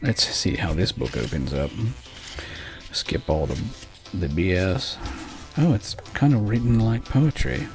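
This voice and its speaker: A man's voice: sits low, measured pace, creaky, a little mellow. A man hosting a loose, rambling late-night show, swearing casually as he chats and reads a novel aloud. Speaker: podcast host. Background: faint background music.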